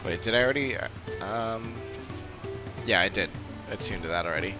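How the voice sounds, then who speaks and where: Low-voiced man rambling on a game stream. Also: moderate pace. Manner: rambling, thinking aloud. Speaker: live streamer playing an online role-playing game. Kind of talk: casual, unscripted stream chat. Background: game music.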